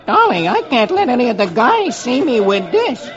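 A man's voice: extremely high pitch, wildly swooping pitch, normal speed, highs cut off, music in the background, exaggerated voice. A man voicing a hapless, boyish young soldier, exclaiming his way through an old radio comic-strip reading. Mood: eager. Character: hapless young male soldier, easily exasperated. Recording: band-limited old radio recording.

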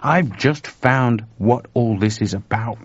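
Jittery young tech guy done by a man, husky, talks high and urgent, dry dull recording. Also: slow pace, dry recording. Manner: excited, talkative, urgent. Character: urgent, nervous young male computer technician.

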